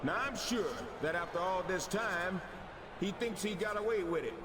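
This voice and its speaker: Showy, vengeful general manager male actor in a wrestling game, raised pitch, theatrical announcing, very noisy arena audio. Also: normal speed, close to an everyday voice. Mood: accusing, dramatic. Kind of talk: scripted wrestling promo in a video game.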